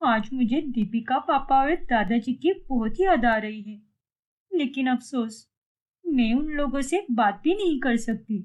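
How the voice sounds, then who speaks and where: A woman's voice: high-pitched, lively intonation, unhurried, darkish tone, dull audio. A woman voicing a hurt, wistful, homesick daughter in a cartoon story, with a plaintive manner.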